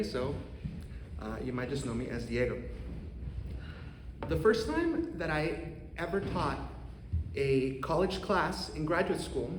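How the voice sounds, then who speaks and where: A man in his thirties from Costa Rica with a Costa Rican accent, an economics professor giving an earnest, measured prepared talk to a congregation. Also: fairly high pitch, a little hiss or hum. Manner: earnest, measured, with occasional restarts. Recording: roomy hall reverb, faint ambience.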